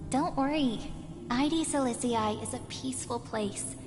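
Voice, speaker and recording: Gentle, teasing high-voiced village girl. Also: a little slow. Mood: playful, reassuring. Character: gentle, playful, teasing village girl, affectionate elder companion. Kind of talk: video game voice acting. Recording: long reverb, prominent game music.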